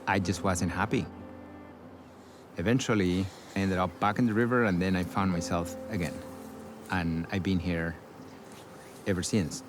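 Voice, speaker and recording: Low-voiced middle-aged Costa Rican man. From Costa Rica. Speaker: river guide. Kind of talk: reflective documentary voice-over. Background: music.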